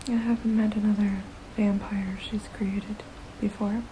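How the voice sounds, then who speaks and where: A woman voicing a gently protective, motherly vampire in a soft, breathy ASMR whisper, casual and soothing. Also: mid-range voice, flat delivery, a little hiss or hum, natural voice, rough recording. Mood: gentle, reassuring. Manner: hesitant, casual, soothing, unhurried.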